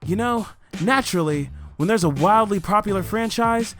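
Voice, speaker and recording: A man's voice: sits high, lively intonation, normal speed, fairly bright tone. A man co-hosting a movie countdown, delivering scripted comic narration in an emphatic, over-the-top style. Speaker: co-host of a movie countdown show.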